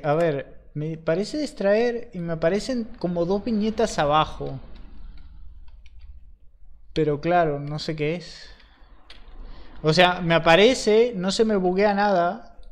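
A young man streaming a game from his room, chatting casually and swearing freely as he plays. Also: high voice. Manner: chatty, swears freely, talks while playing. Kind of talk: casual gaming chat. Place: home room at a computer.

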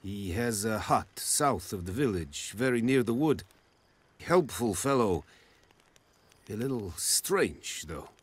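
A man playing a stern foreign army commander in a video game, speaking English as a second language in a formal, measured way. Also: lowish voice, expressive, slightly rough, full character voice. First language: not English. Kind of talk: video game dialogue acting.

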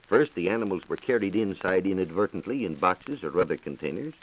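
Deep-voiced American man, 1940s radio science reporter. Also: animated, slight background noise, rough recording. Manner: measured, explanatory, formal. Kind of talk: 1940s radio science talk, answering questions. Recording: 1940s radio transcription disc.

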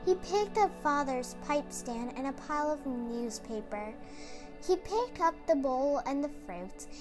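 A woman's voice: sits high, animated, measured pace, music in the background. A woman narrating a children's picture book as a read-aloud. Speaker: storybook reader.

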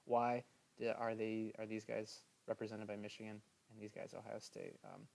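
A man teaching a history lesson over slides in a casual, chatty way, filling pauses with "um" and checking in with "right?". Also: lowish voice, monotone, creaky, darkish tone. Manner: chatty, many "um"s and "right?" asides.

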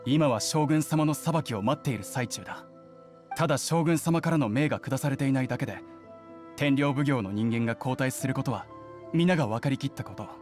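A man's voice: medium pitch, fairly quick, a little mellow, faint music behind, lightly acted. A man voicing an earnest young nobleman in an anime game, burdened and sober, with formal speech. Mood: grave. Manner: formal, sober, self-reproaching.

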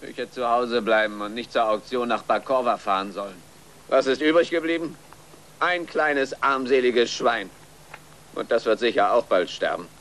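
A man's voice: medium pitch, moderate pace, a bit bright, a little hiss or hum, natural voice. A man playing an exasperated, grumbling middle-aged farmer father in a children's radio play. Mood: grumbling, gloomy. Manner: grumbling, weary.

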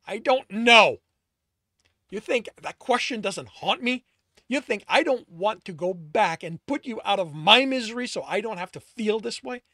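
A man voicing a stubborn, heartbroken young man who yells bitter accusations. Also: sits high, animated, normal speed, dry recording, clean studio sound, voice pushed up, full character voice. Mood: angry hurt.

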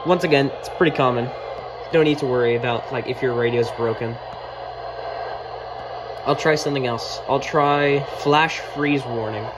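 A man's voice: medium pitch, normal speed, pauses often, heavy background noise. A man giving an informal hands-on tutorial, thinking aloud as he goes, recorded indoors on a handheld phone. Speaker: video creator giving a demonstration. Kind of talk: casual hands-on tutorial narration. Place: indoors at a wooden desk.

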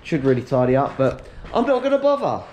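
A man in his thirties, slightly hoarse, chatting casually to a head-mounted camera while he strips out his workshop. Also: medium pitch, very animated, brisk, a little mellow, dull audio, slight background noise. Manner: chatty, upbeat, matter-of-fact while working. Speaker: workshop owner vlogging. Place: large workshop being stripped out, indoors. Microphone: head-mounted action camera.

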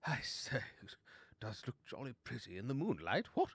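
A man's voice: mid-range voice, wildly swooping pitch, normal speed, vocal fry, slightly rough, no echo, full character voice. A man voicing a chatty upper-class animal adventurer in a storybook, jolly and pompous. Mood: dreamy.

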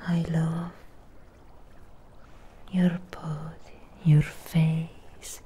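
A very slow, soft and mellow whisper, close to the mic over clear background noise. It is a woman playing a lonely Frankenstein creature girl in an ASMR roleplay, possessive and tenderly in love. She speaks in breathy, halting, intimate phrases. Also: sits low, level tone. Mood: adoring, tender. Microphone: close microphone.

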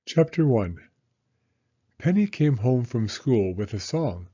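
Slow, expressive man reading a storybook. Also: mid-range voice, husky, lo-fi sound. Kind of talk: scripted children's story narration.

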